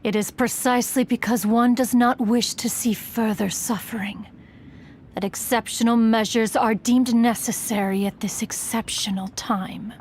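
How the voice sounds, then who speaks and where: A woman plays a haughty immortal recluse from a fantasy game. She speaks slowly in a fairly high voice, with stiff archaic formality and a commanding, aloof manner, over faint background ambience. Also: steady tone, a little mellow, slight background noise, close to an everyday voice. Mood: stern, grave. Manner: imperious, formal archaic diction, refers to self as one. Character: proud, aloof, ancient female adeptus spirit, haughty recluse. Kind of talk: scripted fantasy video game acting.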